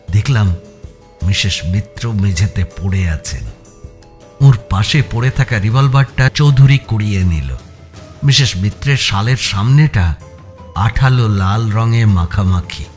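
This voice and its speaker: A man's voice: medium pitch, a bit bright. A man with an Indian Bengali accent giving a dramatic first-person audiobook narration of a story.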